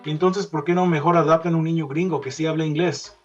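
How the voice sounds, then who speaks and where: A man's voice: fairly high pitch, fairly even intonation, rapid-fire, darkish tone, faint music behind, natural voice. A man playing a questioning young orphan boy in an audio story, on a dull recording. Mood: puzzled, questioning. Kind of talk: dramatized short story reading.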